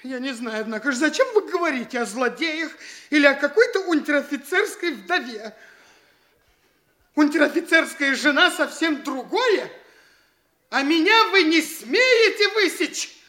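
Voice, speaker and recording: A very high-pitched young petty official. He drags out his words with stammering, petulant indignation. It is stagy radio comedy, all cowardly bluster. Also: lively intonation, a little slow, bright, forceful tone, heavily acted. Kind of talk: theatrical classic comedy radio acting.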